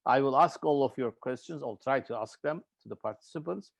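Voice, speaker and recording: A man from Turkey with a Turkish accent, moderating an online panel in a halting, hesitant way with frequent repeated words. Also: mid-range voice, gravelly, no echo. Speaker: webinar host and moderator. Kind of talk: informal spoken introduction of a panel. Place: online video webinar.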